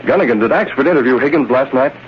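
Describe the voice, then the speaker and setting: An actor playing a brisk, authoritative young American newspaper publisher in an old-time radio drama, with clipped delivery. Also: male voice, mid-range voice, crowd noise, close to an everyday voice. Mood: businesslike. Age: young adult. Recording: muffled, noisy vintage radio recording.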